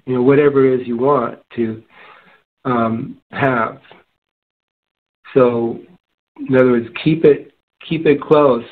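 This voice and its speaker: A man in casual spiritual discussion, talking in a halting, searching way and often rephrasing himself. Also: medium pitch, fairly even intonation, narrow, tinny audio. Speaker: discussion panelist.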